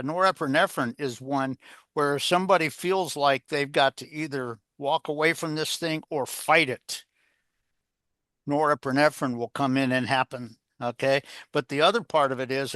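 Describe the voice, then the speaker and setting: A man's voice: medium pitch, a bit bright, no echo. Man giving rambling leadership advice, dry sound. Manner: rambling, filler-heavy, poses rhetorical questions. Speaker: guest, leadership author. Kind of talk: interview answers, conversational coaching.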